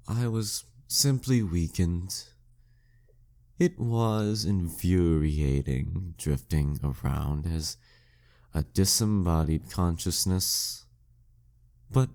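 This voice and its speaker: A man's voice: deep voice, gravelly, dark tone, exaggerated voice. A man playing a flirty, dominant demon king villain in an intimate audio roleplay, teasing and smug in manner. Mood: taunting, condescending. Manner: teasing, smug, slow deliberate delivery.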